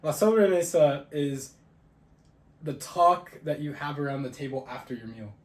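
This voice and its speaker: A man's voice: a little high, expressive, normal speed. A young man co-hosting a podcast, chatting casually and quizzing his friend. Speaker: podcast co-host. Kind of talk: casual chat. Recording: roomy echo, faint ambient noise.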